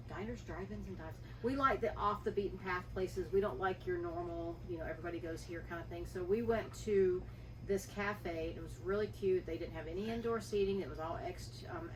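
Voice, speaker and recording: A woman talking in an easygoing, conversational way as co-host of a casual travel vlog. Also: fairly low pitch. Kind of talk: casual unscripted chat with partner. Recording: distant mic, clearly noisy background.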